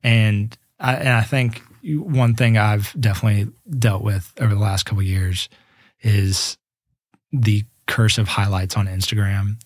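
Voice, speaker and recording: Earnest man in his twenties, low dry voice. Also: fairly even intonation, a little slow, no room sound. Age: 20s. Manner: earnest, reflective, with many restarts. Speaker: guest musician. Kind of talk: casual podcast interview.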